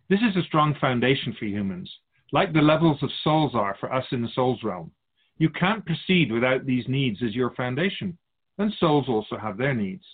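An actor playing an otherworldly keeper of souls in a radio drama, patiently teaching humans in a measured, all-knowing way. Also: male voice, mid-range voice. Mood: patient, instructive. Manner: didactic, measured explaining, speaks of humans as outsider. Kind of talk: scripted radio drama dialogue. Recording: dull phone-like narrow sound.

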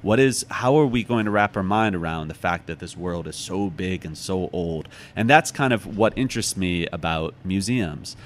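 An American man talking casually as an interview guest, telling stories in an animated, reflective way and correcting himself as he goes. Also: low voice, moderate pace, hardly any pauses, a little hiss or hum. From United States, from Chicago. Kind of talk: casual conversational storytelling.

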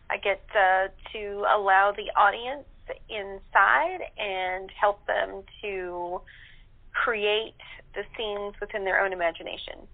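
A woman speaking as an interview guest in a measured, conversational way. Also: mid-range voice, creaky. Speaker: guest radio play narrator. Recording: muffled telephone line.

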